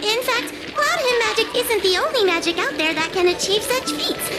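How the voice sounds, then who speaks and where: Very high, timid fox-girl exorcist. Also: expressive, moderate pace. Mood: nervous. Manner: hesitant, stammering, apologetic. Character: timid, earnest young fox-girl exorcist stammering through expertise. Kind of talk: anime-style game voice acting. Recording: game music behind the voice.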